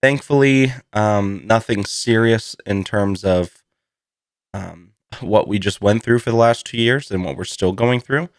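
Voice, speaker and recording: Male podcast host, lowish voice with a slight post-cold hoarseness, chatty and meandering, recorded close and dry. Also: measured pace, dry recording. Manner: rambling, self-deprecating, laughs through his words. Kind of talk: casual solo podcast chat.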